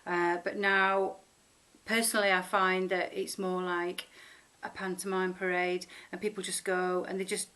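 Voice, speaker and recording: A woman's voice: fairly low pitch, flat delivery, measured pace, a bit bright. A middle-aged woman from Sheffield with a Northern English accent, chatting informally and unscripted to her viewers in a rambling, affectionate way. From Sheffield, northern England. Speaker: vlogger introducing herself. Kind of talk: casual unscripted chat to camera.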